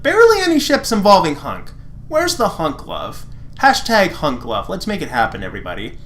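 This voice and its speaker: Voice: medium pitch, fairly bright tone. A reader performs fan fiction as a dramatic narration, with a widely swinging voice. The delivery is sarcastic and exasperated, often slipping into mocking commentary on the writing. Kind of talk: dramatic reading with mocking asides.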